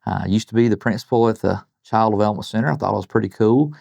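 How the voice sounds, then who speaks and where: An older man reading a bedtime story to children, expressive, with chatty asides and questions to his listeners. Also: on the low side, racing, creaky, no echo, clean studio sound. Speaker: guest storybook reader, retired principal.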